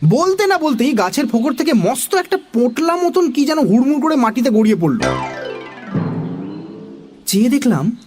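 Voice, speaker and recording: High, lively storytelling narrator. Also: normal speed, pauses often, over music. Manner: expressive, animated. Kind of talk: dramatic children's story narration.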